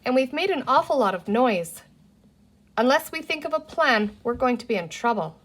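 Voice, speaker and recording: A woman's voice: sits high, expressive, normal speed, pitched above the performer's own voice. A woman voicing a bossy, decisive girl in a children's storybook, with a firm, commanding manner.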